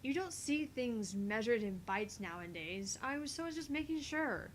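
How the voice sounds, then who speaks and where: A woman's voice: fairly high pitch, animated, normal speed, a little hiss or hum, rough recording. A woman doing an acted reading of dialogue from a visual novel game. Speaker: voice reader in a visual novel playthrough.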